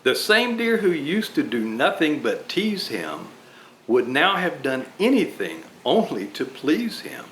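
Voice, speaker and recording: A man reading a rhyming children's Christmas story aloud with dramatic, deliberate phrasing. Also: a little high, sing-song, a bit bright. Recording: roomy echo, faint background noise.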